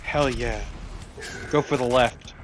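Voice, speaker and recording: A man's voice: mid-range voice, fairly even intonation, slow, a little hiss or hum. A man co-commentating on a video game in a casual, wry, joking way. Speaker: let's play co-commentator. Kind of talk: casual gameplay commentary chat. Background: video game music.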